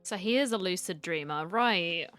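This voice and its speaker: A woman's voice: lowish voice, lively intonation, moderate pace, fairly bright tone, soft background music. A woman giving casual reaction commentary as she streams a game.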